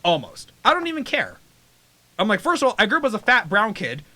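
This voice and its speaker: A man's voice: high-pitched, wildly swooping pitch, fairly quick, bright, forceful tone, dry recording. A man talking bluntly in a casual podcast chat, laughing often.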